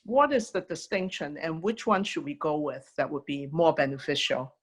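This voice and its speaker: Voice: medium pitch, moderate pace. Attendee hesitantly asking a question. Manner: hesitant, with uhs and ums. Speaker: webinar attendee. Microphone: video call.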